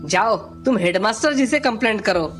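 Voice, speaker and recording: Narrator putting on a cheeky, boastful schoolboy, animated. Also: mid-range voice, normal speed, music in the background, pitched below the performer's own voice, close to an everyday voice. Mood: taunting. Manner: taunting, cocky. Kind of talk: children's cartoon story dialogue.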